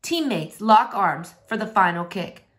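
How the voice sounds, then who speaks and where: Animated woman reading a storybook aloud. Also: mid-range voice, a little slow. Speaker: storybook reader. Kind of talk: expressive read-aloud narration for children.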